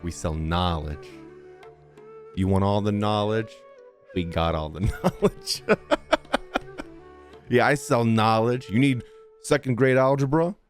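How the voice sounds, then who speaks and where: A man's voice: on the low side, slow pace, pauses often, faint music behind. A man streaming a video game with casual, playful commentary, joking along and slipping into mock voices. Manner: jokey, puts on mocking voices.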